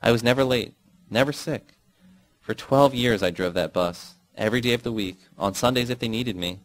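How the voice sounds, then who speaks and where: A man voicing a worn-out old father, a former bus driver, speaking slowly and bitterly in an audiobook story. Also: medium pitch, natural voice.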